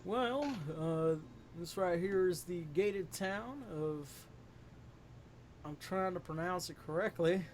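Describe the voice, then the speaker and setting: A man's voice: sits high, slow, a little hiss or hum. A man voicing a friendly male gunslinger with a folksy southern twang in a tabletop game.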